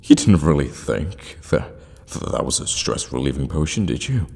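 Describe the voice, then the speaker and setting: A man playing an evil, sadistic warlock in an ASMR fantasy roleplay, teasing and menacing, often slipping into a breathy whisper. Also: rumbling low, animated, exaggerated voice. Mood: mocking, sadistic. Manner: slow, teasing, drawn-out hums and dark chuckles.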